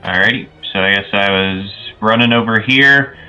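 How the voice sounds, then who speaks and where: A man's voice: fairly low pitch, moderate pace, pushed and bright, muffled recording. A young man playing in a tabletop game, hesitantly describing his moves with many fillers. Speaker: player. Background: faint background music.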